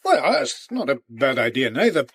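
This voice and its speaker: An actor in a radio drama playing a dogged, deferential country police inspector with a Scottish accent and homely, plain-spoken manner. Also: male voice, mid-range voice, huge pitch swings, normal speed, creaky, fairly bright tone, breathy edge, no echo, full character voice. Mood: dogged, puzzled.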